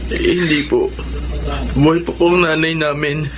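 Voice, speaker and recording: A man's voice: fairly high pitch, flat delivery, normal speed, noisy background, close to an everyday voice. A male actor with a Filipino accent playing a polite, bewildered young eldest son in a radio drama, respectful and hesitant. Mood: polite, subdued.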